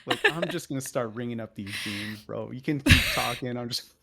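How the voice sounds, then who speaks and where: Gravelly young man, casual podcast talk. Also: mid-range voice, brisk, sharp tone. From United States. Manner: loose storyteller, swears freely. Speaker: podcast co-host. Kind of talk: casual chat.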